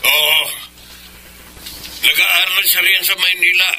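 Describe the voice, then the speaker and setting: A man's voice: high voice, fairly even intonation, slow, bright, forceful tone, heavily acted, rough recording. An actor playing a stern, protective provincial father of a grown son, in a Filipino radio drama with a Filipino accent. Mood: grave, informing. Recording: clear background noise and ambience.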